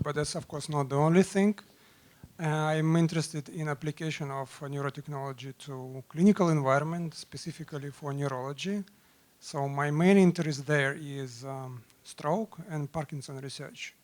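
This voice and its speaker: A man's voice: on the high side, normal speed. A man on a panel describing his research interests in a hesitant way, with many fillers. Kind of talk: self-introduction on a panel.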